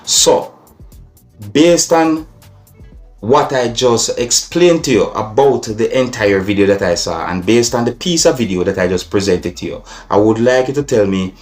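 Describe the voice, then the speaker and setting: A man with a Jamaican accent giving vlog commentary to his viewers, in deliberate, repetitive, formal phrasing mixed with patois. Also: mid-range voice, a little mellow, soft background music. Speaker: video blogger.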